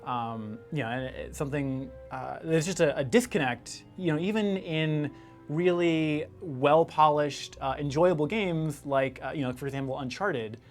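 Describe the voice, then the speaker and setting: A man with a lightly raised pitch talks casually in an interview. His speech comes in halting bursts full of asides, with music playing softly behind it. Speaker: video game interviewee.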